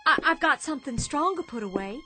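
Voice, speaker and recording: A woman's voice: high voice, expressive, brisk, pushed and bright. An actress playing a sick, bitter widow in a radio drama, speaking emotionally and insistently and stammering at the start of her lines. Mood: pleading. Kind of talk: old-time radio drama acting. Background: faint underscore music.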